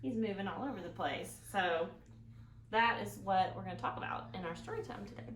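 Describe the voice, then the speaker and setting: A woman's voice: mid-range voice, fairly quick. A woman hosting an interactive storytime for small children, with a playful, sing-song manner and little questions to her young listeners. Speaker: children's library storytime host. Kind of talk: interactive storytime for small children, songs and picture-book reading. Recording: echoey room, clear background noise.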